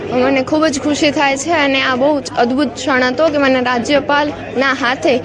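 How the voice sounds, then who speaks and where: High-pitched young Gujarati woman amid crowd. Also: fairly even intonation, normal speed, over music. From Bhuj area, Gujarat. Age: young adult. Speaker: graduating student interviewee. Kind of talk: short vox pop. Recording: outdoor interview amid crowd chatter.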